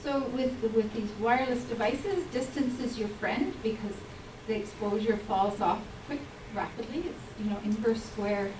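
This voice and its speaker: A woman's voice: a little high, moderate pace. Woman, public health talk, earnest and explanatory, distant mic, roomy hall, background noise. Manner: earnest, explanatory, with frequent ums. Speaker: health speaker.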